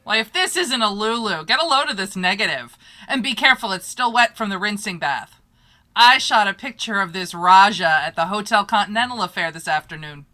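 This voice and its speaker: A woman acting a brash newspaper photographer from an old-time radio play. Her voice is bright and forceful at a middle pitch. She talks in breezy slang and leans eagerly on her words. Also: lively intonation, brisk. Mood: excited, gossipy.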